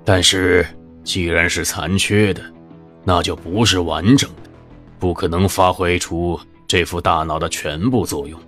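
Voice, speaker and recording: Brief: deep-voiced, secretive shadow teacher for an audio drama, slow and grave, with music underneath. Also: male voice, fairly even intonation, a bit bright. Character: cryptic shadowy male mentor who foresees futures.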